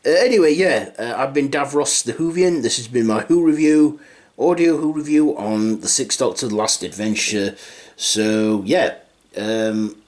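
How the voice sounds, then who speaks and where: A man's voice: mid-range voice, measured pace, darkish tone. A man casually reviewing for online viewers, rambling and rephrasing himself as he goes. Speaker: YouTube reviewer.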